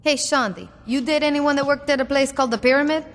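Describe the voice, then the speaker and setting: A woman's voice: high voice, quick pace. A woman playing a cocky, foul-mouthed gang boss in a video game, blunt, commanding and unbothered. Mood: cool, unbothered. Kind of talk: video game cutscene acting.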